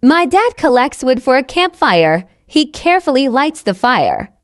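High voiced woman reading a learner story, very clear careful words, lots of up and down in pitch. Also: very animated, unhurried, no echo, studio quality. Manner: carefully enunciated, repeats sentences identically. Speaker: narrator of English learning stories. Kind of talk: scripted slow narration for language learners.

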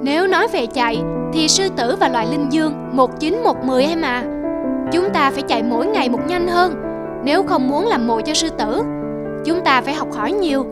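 A woman's voice: sits high, animated, fairly quick. A woman voicing a diligent, protective older brother antelope who lectures earnestly in a cartoon. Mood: earnest, urging. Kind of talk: cartoon voice acting. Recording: loud music under the voice.

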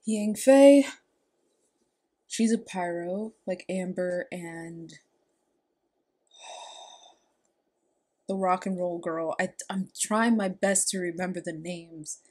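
A woman's voice: fairly low pitch, drawn-out words, pauses often, mellow. A young adult woman giving casual commentary as a reaction video host. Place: small room indoors. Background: background music.

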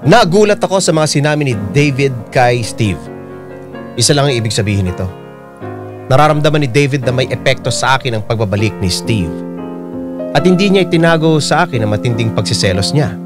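A man's voice: mid-range voice, expressive, fairly quick, close to an everyday voice. A man voicing a troubled, confiding first-person narrator in a Filipino radio drama, with a Filipino accent. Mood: troubled, reflective. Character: troubled married narrator confiding inner conflict. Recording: loud piano and keyboard bed.

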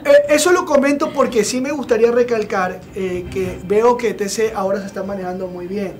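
A young man hosting a TV studio show, giving blunt, opinionated commentary with many filler pauses. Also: high voice, a little mellow. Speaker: TV show host. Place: TV studio set with sofa. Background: background music.

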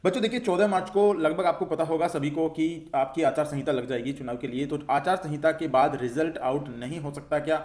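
A man talks quickly in a slightly high voice, like a coach briefing students. He poses questions and answers them reassuringly, often repeating the point in an informal explainer style. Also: darkish tone. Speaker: exam-update coach and channel host. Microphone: voice-over for a screen recording.

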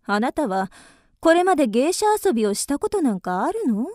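An actress in a radio drama playing a forward young geisha, coy and probing, with old-fashioned feminine speech. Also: female voice, high-pitched, very animated, moderate pace, darkish tone, dry recording, studio quality. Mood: teasing, forward.

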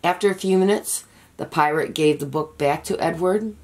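A woman reading a children's picture book aloud as an expressive, deliberate storyteller. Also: sits low. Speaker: storybook reader.

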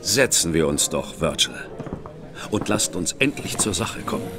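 A composed, shrewd older brother in an audio drama. His voice sits on the low side yet moves animatedly, and he speaks in a measured, wry way. Faint music plays underneath. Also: very animated. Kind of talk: German audio drama acting. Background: faint background score.

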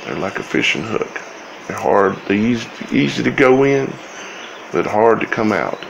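Male host for informal scripture commentary; low-pitched, heavy vocal fry, halting phrasing, some background noise. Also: very animated, rough recording. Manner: halting, broken phrases with long pauses. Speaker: video host.

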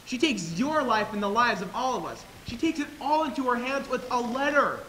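A man's voice: very high voice, sing-song, a little fast. A young man acting an anxious, loyal helper of nuns in a stage play, agitated and emphatic. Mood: agitated, bitter. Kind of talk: amateur stage acting in a high school play. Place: theater stage, indoors. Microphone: distant camera. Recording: echoey stage, hissy distant camera.

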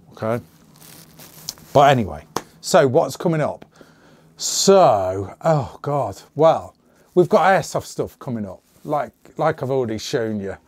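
Chatty man, slightly hoarse. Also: medium pitch, a little mellow, no echo. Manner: chatty, rambling, jokey asides. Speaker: channel host. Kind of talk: casual to-camera channel update. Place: small indoor gun room.

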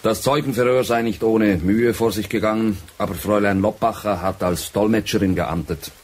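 Male actor as a younger, dutiful man giving earnest reports in radio drama, rather low and husky. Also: steady tone, moderate pace, darkish tone, natural voice.

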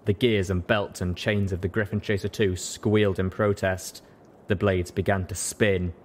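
A man's voice: deep voice, little pitch movement, normal speed, a little hiss or hum. A man reading scripted story narration as an audiobook narrator. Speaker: audiobook reader.

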